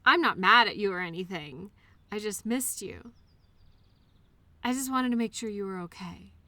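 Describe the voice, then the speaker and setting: A woman playing an innocent, childlike imaginary friend in an intimate audio drama, speaking earnestly and eagerly with wistful, hesitant pauses. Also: medium pitch, sharp tone, no echo. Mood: wistful, tender. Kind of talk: intimate scripted audio roleplay monologue addressed to listener.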